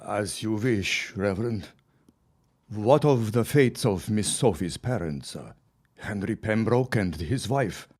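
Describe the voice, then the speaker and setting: An actor playing an obedient henchman in a period radio drama, speaking formally and with measured subservience. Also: fairly low pitch, animated, a little mellow. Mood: obedient, cautious. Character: deferential, obedient henchman to a villainous reverend.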